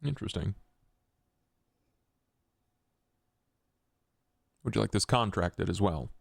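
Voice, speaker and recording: A man acts out a formal vampire gentleman with a very deep, creaky voice. He is reflective and loyal, choosing courtly words in measured phrases, as in a roleplay podcast. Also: no echo, clean studio sound, heavily acted. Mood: businesslike. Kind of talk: tabletop roleplay podcast acting.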